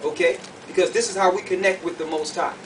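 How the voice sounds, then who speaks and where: A man's voice: high voice, steady tone, fast talker. A man preaching and teaching scripture verse by verse in an emphatic, didactic way, often checking that listeners follow. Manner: emphatic, didactic, checks understanding with short questions. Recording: distant mic, faint background noise.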